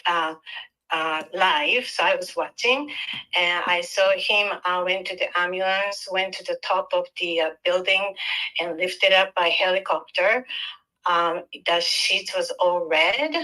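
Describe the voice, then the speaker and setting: Caller: woman, japanese accent, bright tense voice, lowish pitch, hesitant delivery. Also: rough recording. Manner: halting, with many ums and restarts. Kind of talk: call-in on a live podcast.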